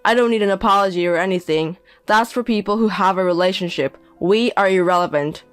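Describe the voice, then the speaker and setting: A woman's voice: mid-range voice, brisk, smooth, no room sound, soft background music, close to an everyday voice. A woman voicing a cold, contemptuous grown son.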